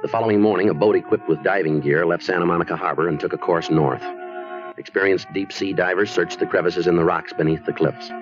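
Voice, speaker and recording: An actor playing a businesslike American police detective who narrates his case in a deadpan, clipped manner in a 1950s radio drama style. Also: deep voice, fast, natural voice. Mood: matter-of-fact. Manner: deadpan, clipped, matter-of-fact. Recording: muffled old radio recording, music behind.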